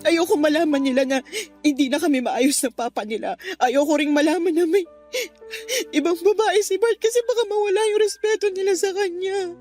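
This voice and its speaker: A woman's voice: very high voice, a little fast, a little mellow, soft background music. A woman playing a distraught, stubborn betrayed wife, pleading and defiant with her mother in a radio drama. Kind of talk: Tagalog radio drama acting.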